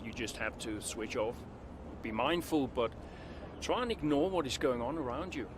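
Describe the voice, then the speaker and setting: An actor playing a proud, guarded veteran racing driver in a video game, terse and defensive in manner. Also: male voice, medium pitch, normal speed, background noise, natural voice. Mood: guarded, measured. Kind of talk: dramatic video game cutscene acting.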